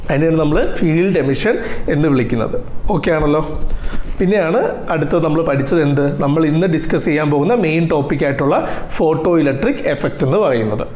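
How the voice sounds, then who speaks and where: Higher-voiced Malayali man teaching, roomy echo. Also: a little mellow, a little hiss or hum. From Kerala, India. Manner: instructive, constantly posing questions to students and answering them himself. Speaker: physics teacher at an online coaching class. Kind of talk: classroom lecture with English technical terms.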